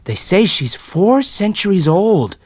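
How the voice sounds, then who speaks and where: A man's voice: sits high, sing-song, slow, darkish tone, slightly rough, dry recording, voice pushed up, heavily acted. A man voicing a small, earnest, curious boy with plain rustic speech.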